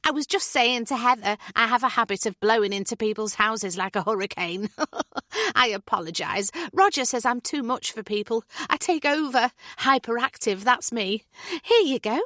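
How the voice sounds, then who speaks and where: A woman's voice: fairly high pitch, animated, pushed and bright, no echo, studio quality, voice pushed up. A woman voicing a chatty, hyperactive middle-aged neighbour with a slight northern English accent, talking over everyone in a storybook reading. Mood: apologetic chatter. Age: 40s-50s. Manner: gushing, rapid-fire, overbearing.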